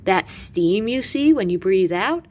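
A woman reading educational material aloud as a teacher, with animated emphasis and carefully separated lists. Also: medium pitch, unhurried. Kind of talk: expressive educational read-aloud.

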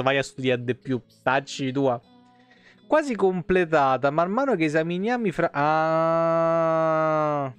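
A man, doing irreverent, sweary live game commentary and reading lore aloud with casual asides. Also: a little high. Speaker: game streamer. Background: game music.

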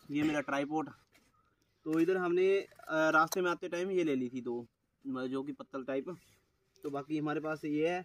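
A young man from Himachal Pradesh, chatting casually to his viewers while camping in a grassy field. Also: fairly high pitch. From Himachal Pradesh, India. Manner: chatty, addresses viewers as friends. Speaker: vlogger. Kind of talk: casual vlog talk to viewers. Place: outdoors in a grassy wooded field. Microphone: camera held at arm's length.

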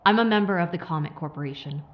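A woman's voice: lowish voice, fast, highs cut off, close to an everyday voice. A woman playing a sly, menacing corporate operative in an audio drama, taunting with mock sympathy. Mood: smug, cold. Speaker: villain.

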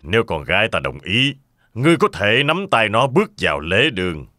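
A man's voice: fairly low pitch, sing-song, fairly quick, sharp tone. An actor playing a genial, formal old king in a fairy-tale cartoon. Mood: stately, pleased.

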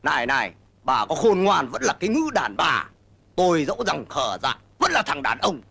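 An actor playing a blustering, gullible old bully of a wolf in a cartoon, boastful and theatrical. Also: male voice, a little high, sing-song, normal speed, creaky, pushed and bright, slightly rough, no room sound, full character voice. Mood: defensive. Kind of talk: cartoon voice acting. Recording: music behind the voice.

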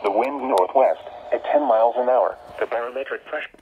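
A man's voice: mid-range voice, brisk, phone-quality sound. Man reading a weather radio bulletin. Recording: weather radio speaker, band-limited, faint noise.

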